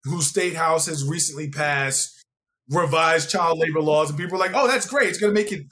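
An American man with a somewhat higher-pitched voice hosts a conversational interview. He sounds informal and encouraging, speaking in a casual, unscripted way. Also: normal speed, pushed and bright. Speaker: interview show host.

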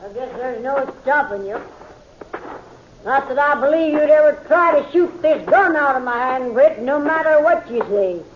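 Feisty old frontier woman, high-pitched. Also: animated, moderate pace, slight background noise. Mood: stubborn, matter-of-fact. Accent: American. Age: older. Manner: folksy, defiant frontier talk. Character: feisty, stubborn older woman silver miner. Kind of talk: 1950s radio western acting. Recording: dull 1950s radio recording.

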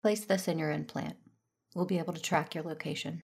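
A woman playing a calm, firm Jedi aunt in an audio drama, measured and persuasive, with a creaky voice. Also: low-pitched, exaggerated voice. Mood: firm, solemn. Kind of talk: audio drama acting with first-person narration.

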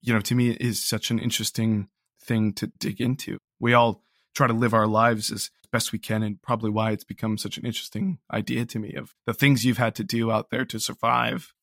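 Halting male guest, dry sound. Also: lowish voice, little pitch movement, creaky, a bit bright, no echo. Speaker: interview guest, audio drama creator. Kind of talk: casual, reflective chat.

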